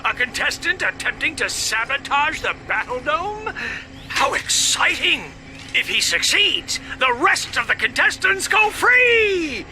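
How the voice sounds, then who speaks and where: A man's voice: very high-pitched, sing-song, unhurried, exaggerated voice. A man voicing a greedy, scheming alien media mogul villain in a video game, with bombastic, theatrical sales patter. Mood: gloating showman. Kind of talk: video game cutscene acting. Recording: loud music behind the voice.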